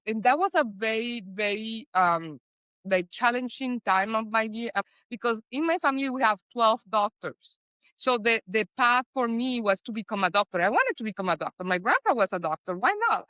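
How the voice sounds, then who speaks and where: A woman's voice: mid-range voice, bright, forceful tone, clean tone, dry recording, low-quality recording. A woman with a Colombian accent, a podcast guest telling her life story in casual, rambling talk full of fillers and restarts. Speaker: guest, business founder. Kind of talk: casual interview chat.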